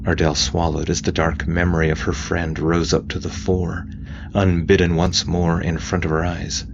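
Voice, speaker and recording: A man reading scripted audiobook fiction in a dramatic, intense way with deliberate emphasis. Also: low voice, flat delivery, gravelly, lo-fi sound. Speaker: narrator. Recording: faint noise behind voice.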